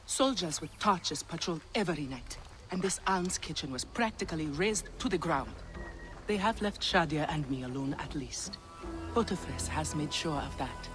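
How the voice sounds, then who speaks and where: A woman playing a composed, defiant mother and official in a video game, measured and reassuring. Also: sits low, expressive. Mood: worried. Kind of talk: dramatic video game acting. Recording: music under the voice.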